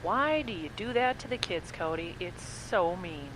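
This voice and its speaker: A teasing radio co-host. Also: female voice, on the low side, lively intonation, unhurried, noisy background. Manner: teasing, playful. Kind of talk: radio comedy.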